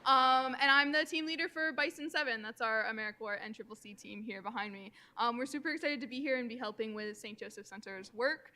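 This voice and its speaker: Team leader, young woman, upstate New York, bright tone, friendly with fillers. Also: on the high side, a little fast, hardly any pauses. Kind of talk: self-introduction at a public meeting.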